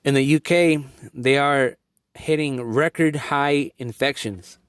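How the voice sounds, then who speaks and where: A man's voice: mid-range voice, takes it slowly, clean tone, dry recording. A young man from Guatemala giving an earnest, rambling video update, often restarting his sentences. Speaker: vlogger.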